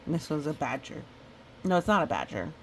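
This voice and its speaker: A woman reading a picture book aloud in a chatty, expressive way, with casual asides. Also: low voice, fairly quick, slight background noise, low-quality recording. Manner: chatty, expressive storytelling with playful sound effects. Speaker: storybook reader.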